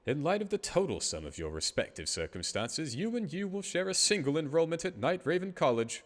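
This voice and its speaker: Pompous, theatrical headmaster. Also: male voice, on the high side, very animated, fairly quick. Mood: stern, formal. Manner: grandiose, formal, melodramatic. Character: pompous, theatrical, self-congratulating male headmaster of a magic school. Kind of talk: anime fandub voice acting.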